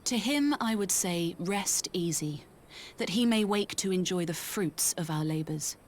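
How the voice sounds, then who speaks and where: Low-toned solemn young seer. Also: female voice, animated, slight background noise. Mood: solemn, measured. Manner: measured, formal archaic phrasing. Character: gentle, solemn young female seer, archaic and wise. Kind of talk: scripted video game cutscene acting.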